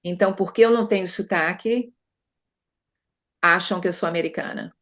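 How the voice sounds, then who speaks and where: A woman's voice: fairly low pitch, normal speed, fairly bright tone. A middle-aged Brazilian woman consultant speaking conversationally in an interview, often adding tag questions and restarting her sentences. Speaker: consultant guest.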